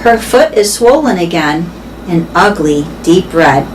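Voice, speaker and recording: A woman reading a children's book aloud in a deliberate, expressive storytelling style. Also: fairly low pitch, low-quality recording. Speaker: read-aloud reader for elementary pupils. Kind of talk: children's book read-aloud, scripted narration. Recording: faint background noise.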